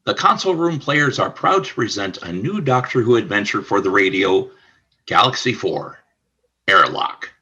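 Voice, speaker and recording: A man with a bright, rough-edged, fairly low voice narrates a radio play. He reads scripted scene descriptions formally and deliberately, like an announcer. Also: lively intonation, dull audio.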